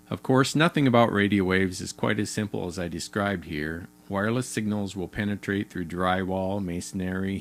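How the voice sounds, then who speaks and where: Man, low-pitched voice, scripted explainer narration, plain, methodical delivery. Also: slight background noise. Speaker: explainer video narrator for a radio retailer.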